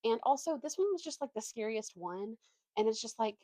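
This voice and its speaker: A woman's voice: a little high, fairly quick, no echo. A young woman chatting casually as a book vlogger, opinionated and slangy, poking fun at herself. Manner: animated slangy delivery, opinionated, self-deprecating.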